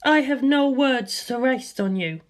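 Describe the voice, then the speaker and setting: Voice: high-pitched, animated, a little slow, pitched above the performer's own voice. A narrator voicing a confident young warrior woman with a composed, curt manner in a storybook reading.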